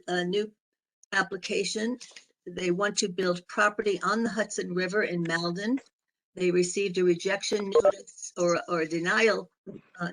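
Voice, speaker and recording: A woman with a hoarse, raspy voice chairing a board meeting, talking haltingly with many fillers. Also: lowish voice, low-quality recording.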